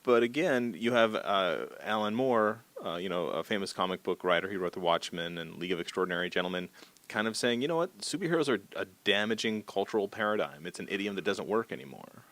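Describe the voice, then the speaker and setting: Low-voiced male interviewer, casual. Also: lively intonation, fairly quick, fairly bright tone.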